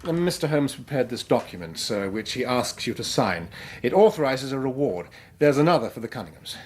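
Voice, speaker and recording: Deferential police inspector, lively intonation. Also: male voice, mid-range voice, fairly quick, breathy edge. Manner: deferential, hesitant. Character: polite, dutiful, unruffled English police inspector. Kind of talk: British radio drama acting.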